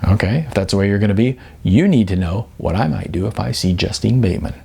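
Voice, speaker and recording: A man's voice: fairly low pitch, expressive, vocal fry, darkish tone, slightly rough, full character voice. A man voicing a naive, eager young aspiring actor in an audiobook, speaking hesitantly. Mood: amused, teasing. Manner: hesitant, with stammers and pauses. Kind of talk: audiobook character dialogue.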